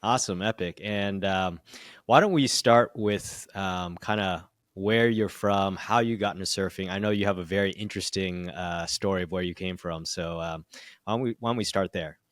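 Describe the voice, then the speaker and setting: A man hosting a podcast speaks in a very low voice with upbeat enthusiasm. The recording is dry and close, with little room sound. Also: normal speed, a bit bright, no room sound. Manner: upbeat, enthusiastic, many fillers. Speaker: podcast host. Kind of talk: podcast interview.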